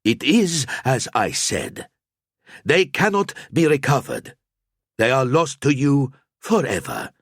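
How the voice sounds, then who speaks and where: A man voicing a tiny, cryptic old male alchemist who speaks in slow riddles and tags lines with "eh?". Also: medium pitch, huge pitch swings, slightly rough, no echo, studio quality, pitched above the performer's own voice, exaggerated voice. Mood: solemn. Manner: slow cryptic prophecy with tag "eh?".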